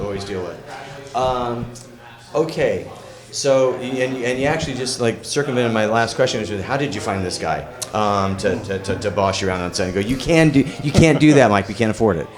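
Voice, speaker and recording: A man hosting a casual live question-and-answer, halting and repeating words as he forms his questions. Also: mid-range voice. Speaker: interviewer, panel host.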